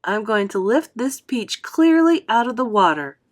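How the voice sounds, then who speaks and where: Woman as a young boy, determined, calm, persuasive, high lively voice, storybook hero. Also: moderate pace. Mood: firm, calm. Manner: firm, explanatory.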